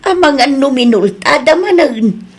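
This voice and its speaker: A woman's voice: high-pitched, lively intonation, moderate pace. A Filipino woman playing a fretful middle-aged mother-in-law in an Ilocano radio drama, nagging and exclaiming as she worries over her family. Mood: worried.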